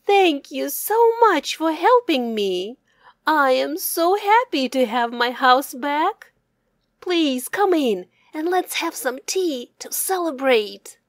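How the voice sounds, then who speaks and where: Here is a meek little hare from a children's storybook. The voice is very high and plaintive, drawing out its words slowly and swooping up and down. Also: female voice, big pitch swings, no echo, studio quality, heavily acted. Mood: grateful.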